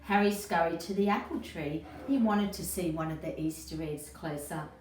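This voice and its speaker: Low-voiced woman reading a storybook, roomy echo. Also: moderate pace. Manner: expressive, asks the child listeners questions. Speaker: early childhood educator reading aloud. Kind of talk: children's storybook read-aloud. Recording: roomy echo, distant mic, faint noise.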